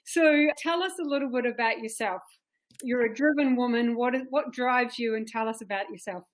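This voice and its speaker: A woman's voice: fairly high pitch, moderate pace. A woman hosting an interview show, introducing her guest with enthusiasm. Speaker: host.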